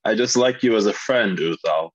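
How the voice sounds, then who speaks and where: An actor playing a sardonic, condescending wizard in his late twenties for an animated fantasy table read. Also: fairly low pitch, normal speed, no room sound, dull audio, close to an everyday voice. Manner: droll, condescending. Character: haughty, sardonic twenty-seven-year-old male wizard.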